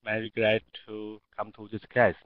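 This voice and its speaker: A man's voice: fairly low pitch, monotone, moderate pace, no echo. A man from Taiwan, a non-native English speaker with a Taiwanese accent, answering as a student in an online class. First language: not English. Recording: dull, lo-fi online call microphone.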